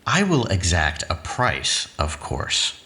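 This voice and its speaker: An actor playing a sly, smug villainous brother in an audio drama, with urbane, mocking formality. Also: male voice, sits low, wildly swooping pitch, takes it slowly, pushed and bright, slightly rough, dry recording, full character voice. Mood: sly bargaining.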